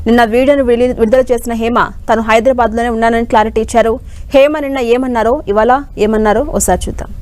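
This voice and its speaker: Fast, high-voiced woman reading news. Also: steady tone, a little mellow, slight background noise. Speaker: news voice-over presenter. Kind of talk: scripted news narration.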